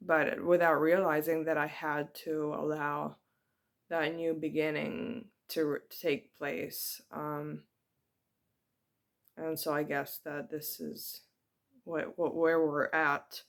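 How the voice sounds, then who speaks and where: A woman giving a personal spiritual reflection to her viewers. She speaks in a hesitant, soft-spoken and heartfelt way, with many ums. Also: low-pitched. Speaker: spiritual vlogger.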